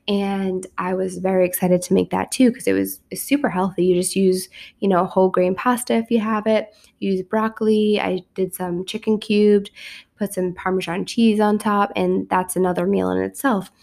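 A woman host with a raspy, sick voice, chatting casually and upbeat on her podcast, with lots of fillers and restarts. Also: mid-range voice, steady tone, moderate pace, darkish tone. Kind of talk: casual chat.

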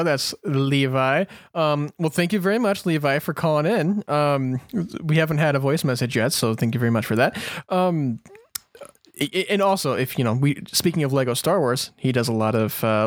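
A man's voice: medium pitch, dry recording. Man hosting casually, close dry sound. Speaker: podcast host. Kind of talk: casual chat, hosting listener questions.

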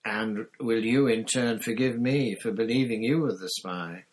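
A man voicing a calm, weary man who speaks in a measured, dry way in a storybook reading. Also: fairly low pitch, pitched above the performer's own voice, natural voice.

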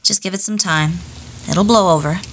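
A woman's voice: on the low side, normal speed, close to an everyday voice. A woman acting a blunt, skeptical but protective sister in an amateur radio play. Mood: casual, caring. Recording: heavy rain and ambience noise.

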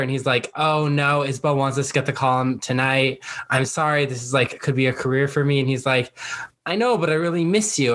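A man with a middling pitch chats as a podcast co-host. He retells the story of a show informally, as if among friends. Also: fairly bright tone. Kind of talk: casual chat recapping a TV show.

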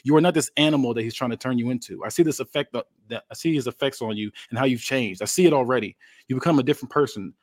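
A man's voice: mid-range voice, racing, no echo, clean studio sound, lightly acted. A man playing a wrestler's worried wife, earnest and imploring as he pleads. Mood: pleading.

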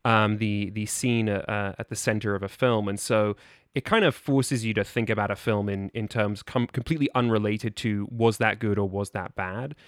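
A man speaking as a podcast guest in casual conversation, with a halting, discursive delivery. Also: fairly low pitch, fairly even intonation, no room sound, studio quality. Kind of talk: casual chat.

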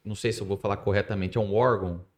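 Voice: low voice, fairly even intonation, very fast. Chatty talk-show host. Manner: chatty, asks questions, loses track of words. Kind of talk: casual interview chat.

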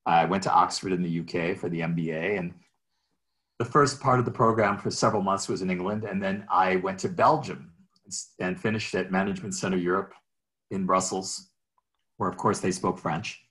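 Very deep-voiced man telling stories. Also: steady tone, fairly quick, fairly bright tone. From Minnesota, USA. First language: Greek. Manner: rambling, restarts, chuckles while telling stories. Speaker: guest. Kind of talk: casual interview chat.

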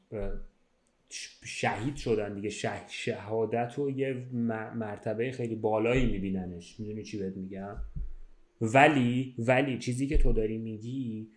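A man's voice: on the low side, darkish tone. Echoey-sounding man in casual discussion. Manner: explanatory, slangy, with English loanwords. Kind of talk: casual conversational podcast discussion.

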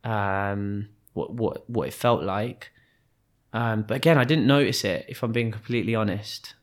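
Man, from Kenya, slightly low voice, reflective, hesitant, podcast guest. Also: moderate pace. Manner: hesitant, many restarts and fillers. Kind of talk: reflective conversational storytelling.

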